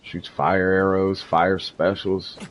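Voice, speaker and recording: Low-voiced man casually streaming a game. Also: level tone, slow. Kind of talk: casual live gameplay commentary. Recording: some background noise. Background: faint noise and ambience.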